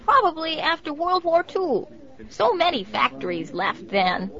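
An actress playing a chatty elderly widow in a nursing home who keeps asking if you knew. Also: female voice, high-pitched, sing-song, measured pace, loud music behind the voice, full character voice. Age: older. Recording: dull lo-fi recording.

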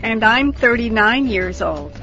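Slow, bright woman reading drill lines. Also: a little high, animated. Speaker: model speaker in a language drill. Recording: dull lo-fi recording with music.

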